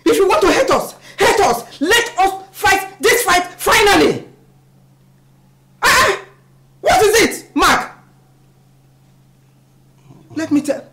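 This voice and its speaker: A woman's voice: mid-range voice, darkish tone, a little hiss or hum. An actress playing a wounded, proud middle-aged mother in a film melodrama, speaking emphatically. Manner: emphatic, declamatory. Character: wounded, proud elder mother scolding her son. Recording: music under the dialogue.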